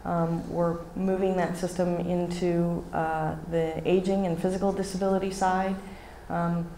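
Middle-aged woman doing a keynote at a hotel podium mic, animated, keeps asking right?, faint hum behind. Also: fairly low pitch, unhurried, poor audio. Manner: animated, gestures widely, asks rhetorical "right?". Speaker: keynote speaker. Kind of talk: conference keynote speech. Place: hotel conference hall. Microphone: podium microphone.

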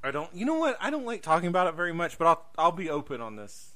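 A man's voice: on the high side, huge pitch swings, quick pace, pushed and bright. A man hosting a casual podcast conversation, speaking emphatically and repeating himself to drive points home. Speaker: podcast host.

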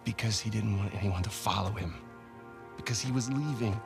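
A man's voice: on the low side, a little fast, creaky. An American man voicing a stubborn, restless male treasure hunter in a video game cutscene, urgent and persuasive. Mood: insistent, excited. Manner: urgent, insistent, stammering when pushed. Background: music.

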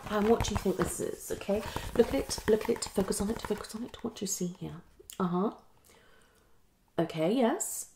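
A woman's voice: medium pitch, moderate pace, noisy background. A young woman playing a psychologist in an improvised ASMR roleplay, questioning and hesitant, often repeating short phrases. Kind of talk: fast unscripted ASMR roleplay. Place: small home room, indoors.

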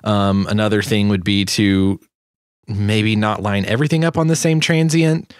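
A man in his twenties talking casually through his sound design work, relaxed and self-deprecating, close to the microphone. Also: fairly low pitch, moderate pace, clean tone, no echo. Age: 20s. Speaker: sound designer hosting a tutorial. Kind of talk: casual screen-share walkthrough. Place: small home studio room. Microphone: close boom-arm microphone.

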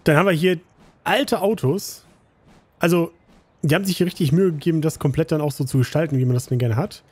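A slightly hoarse, tired man casually commenting on a game he plays, rambling with many fillers. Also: fairly high pitch, a little fast, darkish tone. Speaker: gaming channel host.

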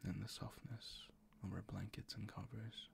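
A man performing improvised boyfriend ASMR in a breathy, gravelly whisper, tender and soothing, close to the microphone. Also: lowish voice, flat delivery. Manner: tender, slow, soothing, gently coaxing.

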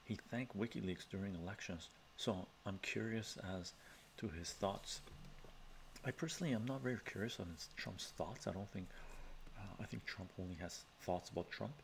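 A middle-aged man from Canada hosting a relaxed ASMR live stream from his home study, chatting softly, chuckling, often adding "right?". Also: lowish voice, normal speed, vocal fry, slight background noise, rough recording. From Canada, of Armenian descent from Iran. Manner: soft-spoken, chuckling, chatty asides with frequent "right?". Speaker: live-stream host reading chat. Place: home study lined with bookshelves. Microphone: webcam framing at desk.

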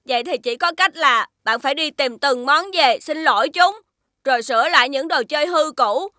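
A woman voicing a magical boy friend who lives in a spaceship, calm and sensible with a gently scolding manner, in a dubbed cartoon. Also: sits high, normal speed, no echo, studio quality. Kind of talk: cartoon dubbing.

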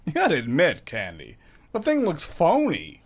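An actor playing a wisecracking homicide inspector in a radio drama, with breezy, teasing banter. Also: male voice, fairly high pitch, wildly swooping pitch, normal speed, exaggerated voice.

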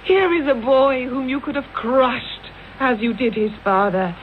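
Earnest lady, high voice, tinny. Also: measured pace. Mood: earnest, persuasive. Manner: articulate, persuasive, formal phrasing. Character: Miss Moriarty, earnest, eloquent, pleading young lady. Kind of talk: 1980s radio drama acting. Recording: thin phone-like, noisy old radio recording.

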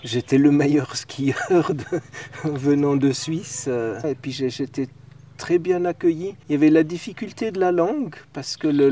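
A man in his late fifties, from Lebanon and Syria, speaks with a soft, mellow tone. He tells his story in a conversational way, often pausing to search and repeat a word. The sound sits a little away from the microphone, outdoors. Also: mid-range voice, far from the mic. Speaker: interview guest, entrepreneur. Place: outdoors in a garden. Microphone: clip-on wireless lapel mic.

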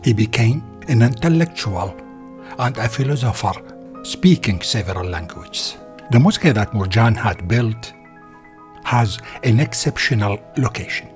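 A man's voice: mid-range voice, lively intonation, a little slow. A man reads a scripted historical narration as a documentary narrator. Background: background music.

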